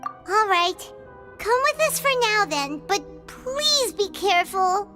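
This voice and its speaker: A little floating companion from a video game, eager and protective. The voice is extremely high, squeaky and childlike, with lively swings in pitch. Loud music plays behind it. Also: female voice, takes it slowly, heavily acted. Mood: concerned.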